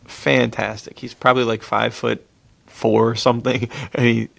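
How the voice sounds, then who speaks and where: A man's voice: medium pitch, level tone, normal speed, vocal fry, fairly bright tone. A man co-hosting a podcast, retelling film plots in a casual, enthusiastic and slangy way. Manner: enthusiastic, chuckles as he talks, slangy. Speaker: podcast co-host.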